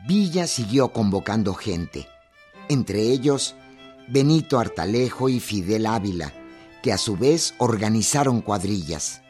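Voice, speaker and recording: A man's voice: fairly low pitch, expressive, a little slow, darkish tone, faint music behind. A man, reading scripted narration for a radio drama.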